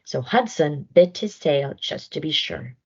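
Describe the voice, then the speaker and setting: Slow, expressive woman reading a storybook. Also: low voice, breathy edge, highs cut off. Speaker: storybook reader. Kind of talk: expressive children's read-aloud narration.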